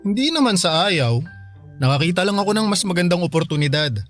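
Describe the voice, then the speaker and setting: Doting, higher-voiced uncle. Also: male voice, expressive, fairly quick, fairly bright tone, faint music behind. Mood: gently explaining. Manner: playful, tender, patient with a child. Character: affectionate, gentle uncle talking to his young nephew. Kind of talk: radio drama acting.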